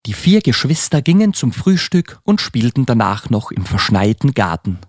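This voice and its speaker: A male narrator reads a children's Christmas story with a lively, widely moving melody. His voice has a husky grain. The recording is dry and close, with very little room sound. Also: mid-range voice, moderate pace, no echo.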